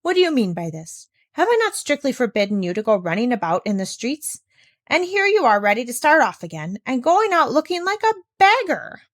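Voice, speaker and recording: Woman voicing a stern, shrill, exasperated housekeeper lady. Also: very animated, brisk, dry recording, studio quality. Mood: exasperated scolding. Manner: haughty, scolding, exclamatory. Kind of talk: children's storybook character reading.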